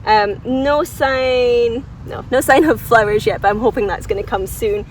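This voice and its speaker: A woman's voice: on the high side, a little slow. A woman giving a casual, unscripted garden tour to viewers, enthusiastic and informal, thinking aloud with a self-deprecating streak. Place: outdoors in a flower garden. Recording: distant mic, outdoor background noise.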